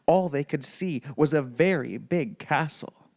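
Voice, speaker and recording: Male storybook reader, bedtime narration, unhurried pace. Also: fairly high pitch, a little mellow.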